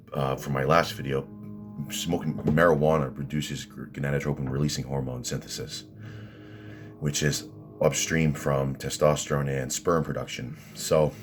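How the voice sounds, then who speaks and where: A man with a deep voice, ranting at his critics in rambling, combative commentary full of restarts and fillers. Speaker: vlogger answering critics.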